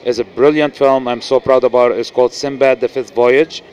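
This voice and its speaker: A man's voice: medium pitch, fairly even intonation, brisk, darkish tone, highs cut off. A middle-aged man with a Lebanese accent, an actor speaking earnestly in an interview, with many restarts and fillers. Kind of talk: casual interview. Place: noisy indoor event venue. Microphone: handheld mic.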